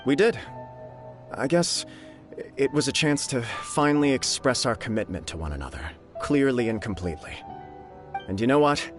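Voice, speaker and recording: A man's voice: mid-range voice, expressive, normal speed, soft background music, close to an everyday voice. An actor playing an earnest, lovestruck young nobleman in a video game, polite and sincere in his speech. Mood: resolute.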